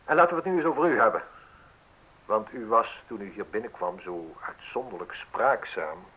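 An actor playing a self-assured, mocking man in his thirties in a radio drama, speaking deliberately with ironic, theatrical pauses. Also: lowish voice, expressive, pushed and bright, heavily acted, low-quality recording. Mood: needling. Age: 30s. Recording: husky-sounding radio play recording.

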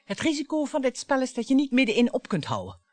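An actress in a radio play playing a bitter, provocative wife who dwells on words theatrically. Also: female voice, sits high, huge pitch swings, quick pace, dry recording, heavily acted. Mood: taunting, seductive. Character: bitter, taunting wife dredging up old family grievances.

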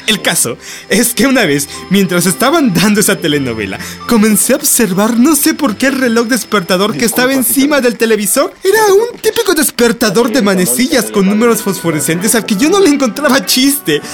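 An actress playing a reflective, self-justifying television devotee, delivering an articulate radio drama monologue. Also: female voice, on the high side, big pitch swings, brisk, soft background music. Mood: wistful, musing. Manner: musing, articulate.

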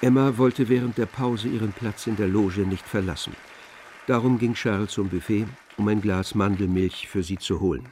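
A man's voice: fairly low pitch, moderate pace, darkish tone, slight background noise. A man narrating a literary radio play in a scripted, storytelling style. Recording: music behind the voice. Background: music.

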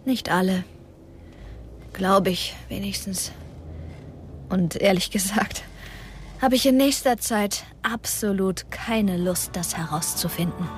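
A young woman playing a determined, caring, gently insistent teenage girl in a radio drama. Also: mid-range voice, slow, long pauses, close to an everyday voice. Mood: wry, subdued. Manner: earnest, insistent. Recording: loud music under the voice.

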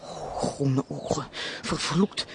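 An actress playing a pert, haughty castle servant in a radio play, chatty and sharp-tongued in a theatrical way. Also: female voice, deep voice, slow pace, background noise. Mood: indignant, taunting. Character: Lotje, pert, haughty female castle servant, easily offended. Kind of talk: Dutch radio play acting.

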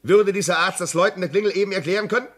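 A railway signalman haunted by a ghost, in radio drama style. His voice is fairly high for a man and rough-edged. He is fearful and tormented, agitated and halting in his speech. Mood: agitated insistence. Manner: agitated, halting, swinging from whispers to desperate outbursts. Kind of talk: German radio play acting.

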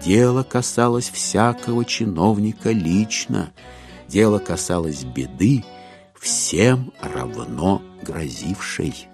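Wry male narrator with highly animated delivery. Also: slow pace, creaky, soft background music. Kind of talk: dramatized literary narration.

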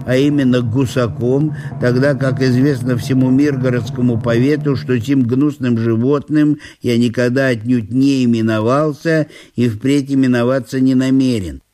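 A man's voice: mid-range voice, warm tone, a little hiss or hum, lightly acted. A man playing a court secretary who recites a pompous petition in a formal, officious way in a theatrical audiobook.

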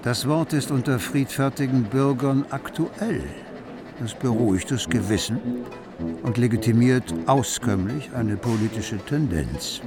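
A man's voice: mid-range voice, measured pace, close to an everyday voice. A man giving scripted literary narration as the storyteller of a radio play. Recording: music behind the voice. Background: music.

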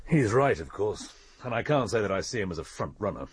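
Husky, shrewd English police inspector. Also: male voice, fairly low pitch, very animated, no echo, heavily acted. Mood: musing, businesslike. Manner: measured, probing. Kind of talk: British radio drama acting.

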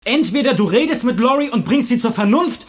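A man's voice: extremely high pitch, animated, a little fast, breathy edge, exaggerated voice. A man acting an angry, fanatical teenage schoolboy in a German radio play, declamatory, contemptuous and commanding. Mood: menacing, indignant. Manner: declamatory, contemptuous, barking orders. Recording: noisy crowd of young people behind.

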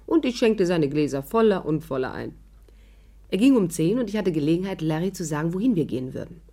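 Sardonic, low-voiced young barmaid. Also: female voice, animated, a little fast, dark tone. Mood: wry narrating. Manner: teasing, flippant, sardonic. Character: cool, mocking young barmaid playing a worldly gangster's girl. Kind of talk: 1950s German radio play acting.

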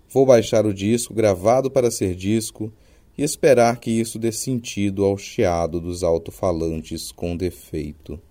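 A man's voice: lowish voice, dark tone. A man with a Brazilian accent, hosting a podcast with scripted, literary narration. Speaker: podcast host.

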